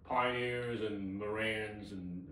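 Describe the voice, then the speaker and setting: A man's voice: on the low side, fairly even intonation, slow pace, a bit bright. An older man chatting casually as co-host, speaking in short, agreeable remarks. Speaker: co-host of an audio hobby show. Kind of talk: casual chat. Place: indoor room with table and blinds. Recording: very echoey room, distant mic.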